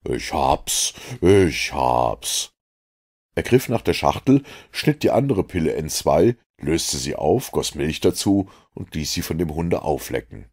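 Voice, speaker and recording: A man reading a detective novel aloud as an audiobook, in steady storytelling narration. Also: extremely low pitch, moderate pace, hardly any pauses, slightly rough. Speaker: audiobook reader.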